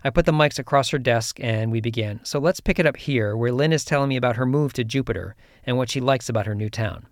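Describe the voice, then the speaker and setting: A man hosting a podcast, reading a measured introduction and then asking relaxed questions. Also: medium pitch, no echo, studio quality. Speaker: podcast host. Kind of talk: scripted narration then interview.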